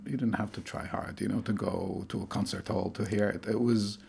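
A middle-aged man from Tunisia, a lecturer, talking thoughtfully in an interview, with many pauses and fillers. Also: lowish voice, fairly even intonation, gravelly, a little mellow, breathy edge. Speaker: lecturer in Arabic studies. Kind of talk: conversational interview.

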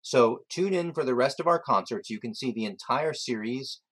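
A man's voice: mid-range voice, lively intonation, normal speed, a bit bright, slightly rough. A man hosting a talk show about music, with a light, self-deprecating way of speaking. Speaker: host. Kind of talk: radio-style show hosting. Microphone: remote recording outside the studio.

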